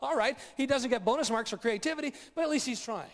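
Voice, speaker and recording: A man with a strikingly high voice tells a comic story from the stage, animated and playful, adding vocal sound effects along the way. He sounds like a preacher at a live conference. His voice rings with the long reverb of a large hall. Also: quick pace.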